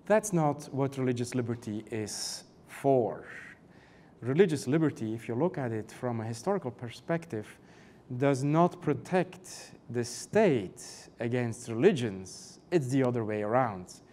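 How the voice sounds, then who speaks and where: A man's voice: medium pitch, expressive, mellow, slight background noise. European-accented man giving a panel talk. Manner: measured, many "uh" and "um" fillers, rhetorical questions. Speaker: panelist, canon law professor.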